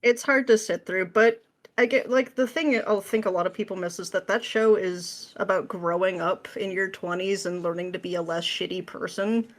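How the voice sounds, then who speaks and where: A woman's voice: mid-range voice, fairly even intonation, moderate pace. A woman speaking as a podcast guest in casual chat, sarcastic and playful, slipping into mocking mimicry. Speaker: podcast guest, script writer. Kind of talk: casual pop culture chat.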